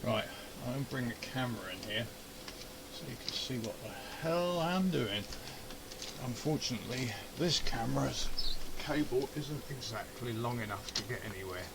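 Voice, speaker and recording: A man's voice: medium pitch, animated, normal speed, vocal fry, background noise. A man giving a casual running commentary on a hands-on repair, grumbling and muttering to himself as he goes. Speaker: amateur radio hobbyist and video host. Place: indoor home radio shack.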